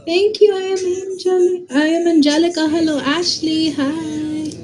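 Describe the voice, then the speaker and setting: A young woman with a Filipino accent chats on a phone live stream, greeting viewers by name. Her voice sits high, and she speaks at an unhurried, easygoing pace. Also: steady tone, a little mellow. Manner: chatty, greets viewers, mixes English with Tagalog. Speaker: livestreamer. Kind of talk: casual live-stream chat. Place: her bedroom, indoors.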